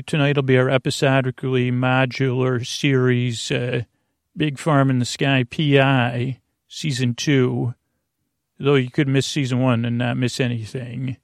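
A man hosting a bedtime podcast in a halting, stammering, meandering monologue with a gravelly, creaky voice, often losing his thread. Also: medium pitch, no echo, clean studio sound. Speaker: host of a bedtime sleep podcast. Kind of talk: rambling, meandering monologue to lull listeners to sleep.

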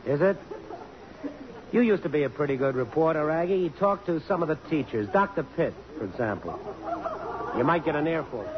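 An actor playing a sardonic, needling magazine reporter in a radio drama, dry, blunt and wisecracking. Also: male voice, on the high side, lively intonation, moderate pace, pauses often, noisy background, close to an everyday voice. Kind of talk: 1950s radio drama acting. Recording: dull old radio broadcast, music.

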